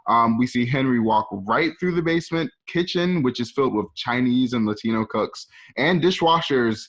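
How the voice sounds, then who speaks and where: A man's voice: mid-range voice, lively intonation, moderate pace, a bit bright, lo-fi sound. A man narrating scene directions informally, with casual conversational asides. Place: at home.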